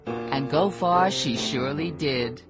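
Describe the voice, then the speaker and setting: An actress playing a gossipy, knowing society manicurist with an American accent and a folksy, emphatic, boastful manner in a radio drama. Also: female voice, low-pitched, takes it slowly, music in the background. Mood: confiding, storytelling. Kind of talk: radio drama acting and storytelling.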